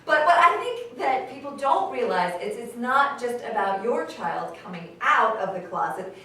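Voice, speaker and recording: Actress as high-voiced older mom, echoey, distant. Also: female voice, sing-song, normal speed, pushed and bright. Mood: chatty, matter-of-fact. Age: 60s. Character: chatty, correcting mother and wife in her sixties. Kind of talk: staged reading, conversational monologue. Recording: echoey hall, distant mic, faint noise.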